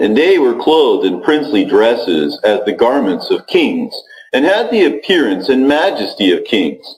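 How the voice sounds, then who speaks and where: A man's voice: mid-range voice, darkish tone, echoey room, rough recording. A man narrating an ancient scripture text in a solemn, formal storytelling manner.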